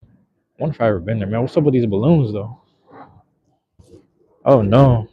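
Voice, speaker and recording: A man's voice: medium pitch, moderate pace, slight background noise. A young man hosting a casual live chat from a phone, outdoors at night, talking in a laid-back, slangy, rambling way. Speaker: livestream host. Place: outdoors at night in a park, cold drizzle. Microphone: handheld phone. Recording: slightly dull lo-fi phone audio.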